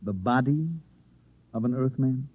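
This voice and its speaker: A man's voice: medium pitch, unhurried, slightly rough. An actor playing a skeptical man who placates and probes a troubled stranger, hesitant and conversational, in a 1950s radio drama. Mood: probing. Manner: hesitant interjections, conversational questioning. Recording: muffled, faintly noisy vintage radio recording.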